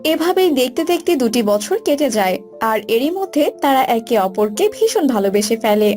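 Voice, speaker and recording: Female narrator, high pitch, scripted cartoon storytelling, background music. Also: sing-song, moderate pace, darkish tone. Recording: music bed behind voice.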